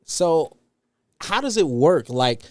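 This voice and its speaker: Casting: Detroit man co-host, highish and wildly animated, joking, dry mic. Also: takes it slowly, dry recording. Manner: joking, teasing, swings his pitch. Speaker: podcast co-host. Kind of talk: casual podcast chat.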